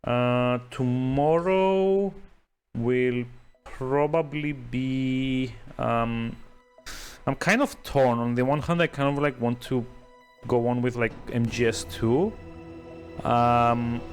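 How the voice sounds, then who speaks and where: A man's voice: medium pitch, takes it slowly, clean tone, faint music behind. A male streamer chats casually over his game at a very leisurely pace. His middling voice rambles and hesitates, with a dry, sarcastic edge. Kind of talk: casual live-stream commentary.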